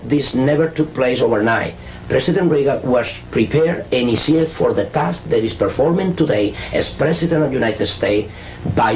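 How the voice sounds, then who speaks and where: Male lecturer, mid-range voice, emphatic halting phrasing, faintly noisy archival recording. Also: medium pitch, darkish tone. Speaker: speaker. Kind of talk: unscripted lecture. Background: background noise.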